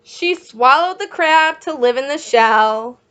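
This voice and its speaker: A woman reading a picture book aloud as a children's teacher, encouraging young listeners with questions and pausing for their answers. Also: high-pitched, expressive, a bit bright.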